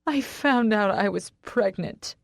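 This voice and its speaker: A woman's voice: medium pitch, fairly even intonation, measured pace, a little mellow, dry recording, clean studio sound, natural voice. A woman narrator playing a distraught, defensive wife in an audiobook, pleading and emotionally raw. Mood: sobbing.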